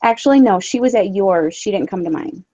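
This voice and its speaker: Casting: woman, Upper Midwest accent, soft mellow tone, candid livestream chat, echoey room. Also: medium pitch, expressive, a little fast, muffled recording. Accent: Upper Midwest American. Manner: candid, sweary. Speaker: co-host of a livestream. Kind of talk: casual chat.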